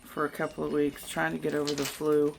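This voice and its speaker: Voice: mid-range voice, completely flat delivery, brisk, gravelly, slight background noise, low-quality recording. A vlogger who has lost their voice to illness, chatting casually while showing an old find and thinking aloud. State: hoarse from illness. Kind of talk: casual show-and-tell chat. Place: outdoors by a home.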